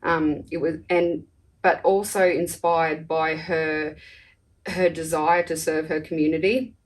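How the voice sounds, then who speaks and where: A woman's voice: sits low, flat delivery, slow, far from the mic, rough recording. A woman talking in a casual conversational way as a podcast interviewer.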